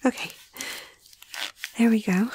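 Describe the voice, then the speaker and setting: A young woman playing a caring, slightly awestruck vet in a soft-spoken ASMR roleplay, gentle and hesitant, often slipping into a breathy half-whisper. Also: on the high side, fairly even intonation, slow, a little hiss or hum. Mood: gentle, focused. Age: young adult.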